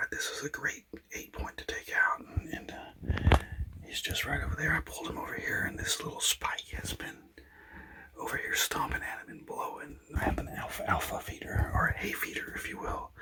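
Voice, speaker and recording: A man whispering a hunting vlog outdoors, hushed, rambling and excited. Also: very high voice, poor audio. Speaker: hunter vlogger.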